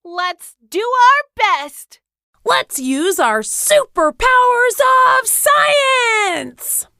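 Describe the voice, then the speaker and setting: Excitable young superhero for kids' cartoon, bright and piercingly high, punchy catchphrase delivery. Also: very slow, dry recording, studio quality, full character voice. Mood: eager, triumphant. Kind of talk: children's cartoon and game voice lines.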